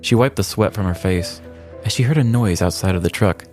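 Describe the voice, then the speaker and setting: Male podcast co-host, low register, scripted humorous crime narration, light music bed. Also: fairly quick, darkish tone. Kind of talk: scripted comedic true-crime storytelling.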